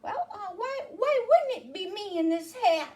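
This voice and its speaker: A woman's voice: very high-pitched, expressive, measured pace, darkish tone, distant mic, voice pushed up. Hedgie, a woman doing a bewildered little hedgehog. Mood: puzzled. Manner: bewildered, hesitant. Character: puzzled little male hedgehog in a hat.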